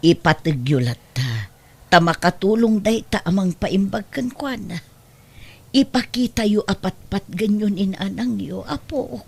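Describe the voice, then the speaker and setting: A woman's voice: medium pitch, expressive, unhurried, gravelly, breathy edge, full character voice. A woman with an Ilocano accent playing a worn, protective grandmother in an audio drama, pleading and sighing with emphasis. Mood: gentle, weary. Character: worn, protective Ilocano grandmother soothing her troubled daughter.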